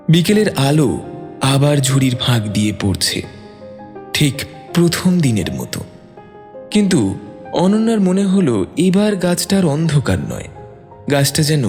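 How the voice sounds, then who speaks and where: Expressive male storyteller in long reverb. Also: medium pitch. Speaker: storyteller, narrator. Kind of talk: dramatic scripted story narration. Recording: long reverb, music bed underneath.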